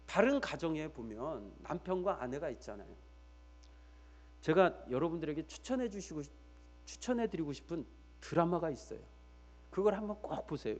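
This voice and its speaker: A man's voice: a little high, a little mellow. A man preaching a church sermon as pastor, emphatically repeating key phrases and putting rhetorical questions straight to his listeners.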